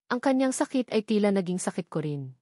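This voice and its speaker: A woman's voice: mid-range voice, animated, fairly quick, dark tone, no room sound. Woman narrating a dramatic story, dry sound.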